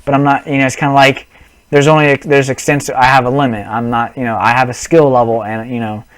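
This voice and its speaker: A man's voice: medium pitch, level tone, a little fast, no echo. A young man on a headset mic, rambling through a casual gaming vlog with lots of restarts and apologies. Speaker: gaming vlogger.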